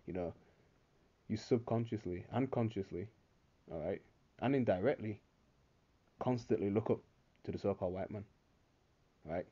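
A man's voice: lowish voice, normal speed, long pauses, mellow. Man preaching with dull lo-fi sound. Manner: preachy, frequent "all right?" check-ins. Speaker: religious commentator. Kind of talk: screen-recorded commentary reading articles and scripture.

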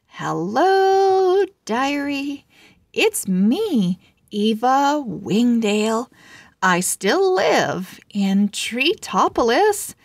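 A cheerful young storybook owl girl, voiced by a woman shares her diary. She speaks very slowly, stressing words with big animated swings in pitch. She is chatty and emphatic throughout. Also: no room sound, studio quality, heavily acted.

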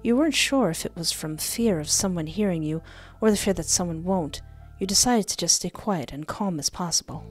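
A young woman hosting a game playthrough on a close microphone, reading text aloud and adding animated, jokey asides. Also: lowish voice, brisk, few pauses. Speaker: gaming video host. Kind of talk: playful let's play commentary mixed with reading game text aloud. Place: indoor home recording setup. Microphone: close desktop microphone with headset. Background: game music.

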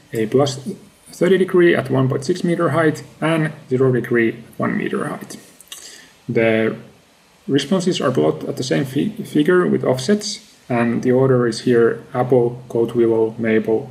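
Creaky-voiced man. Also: mid-range voice, unhurried, darkish tone, poor audio. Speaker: researcher presenting a paper. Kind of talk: scripted academic slide presentation.

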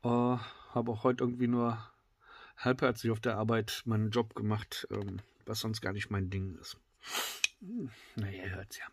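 A middle-aged man with a slightly congested voice, reviewing a knife in a casual, filler-heavy way that often drops to excited whispers. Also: on the low side, moderate pace, husky, no echo. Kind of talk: casual tabletop product review. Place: indoors at a wooden table.

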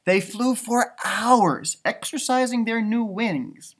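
A man in his thirties reading a picture book aloud to children like a teacher, expressive and dramatic, adding chatty asides. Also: extremely high pitch, takes it slowly, slightly rough. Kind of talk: animated storybook read-aloud with asides. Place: indoor library room with bookshelves.

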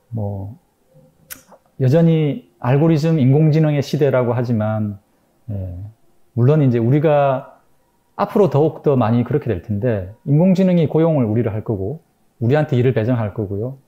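A man's voice: medium pitch, warm tone, highs cut off. A middle-aged man giving an academic lecture in a room, thoughtful and hesitant, with many fillers and restarts.

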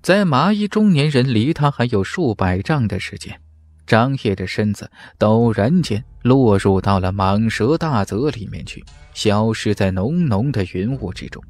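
A man's voice: on the low side, lively intonation, unhurried, darkish tone. A man reading scripted fantasy narration dramatically as an audiobook narrator. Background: background music.

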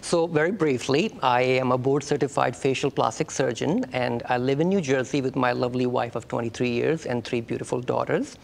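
Pakistani-accented man, slightly gravelly voice. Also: medium pitch, fairly quick, hardly any pauses, slight background noise. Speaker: facial plastic surgeon, guest. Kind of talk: conversational TV interview. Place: TV studio talk-show set.

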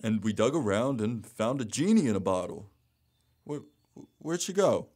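A man's voice: fairly low pitch, sing-song, moderate pace, darkish tone, husky. A man playing a dim, good-natured crook's sidekick in an audio drama, with a naive, casual manner. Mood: eager, naive. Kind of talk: audio theater acting.

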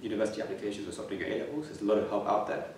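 A man's voice: fairly low pitch, lively intonation, rapid-fire, background noise. A man in his twenties who stammers, repeating words and restarting as he answers interview questions reflectively. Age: 20s. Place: plain room indoors. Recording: echoey room, slightly distant mic.

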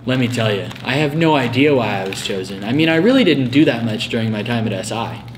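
A man's voice: medium pitch, expressive, quick pace, natural voice. Campus elder, British, theatrical and pompous, played by a man, amateur comedy skit with faint noise. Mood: defensive. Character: elder, undead former student cursed to answer history questions.

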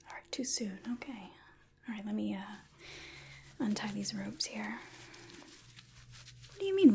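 A young woman playing a tough, sarcastic female rescuer in an ASMR roleplay, breathy, hushed and offhand. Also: on the high side, a little hiss or hum. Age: 20s. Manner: sardonic, offhand. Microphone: close microphone.

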